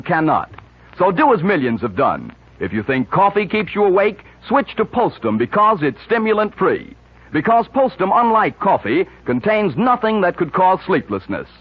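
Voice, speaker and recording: A man's voice: high voice, slightly rough. A man working as a radio announcer, reading introductions and sponsor copy in 1940s style. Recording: dull, hazy 1940s radio broadcast.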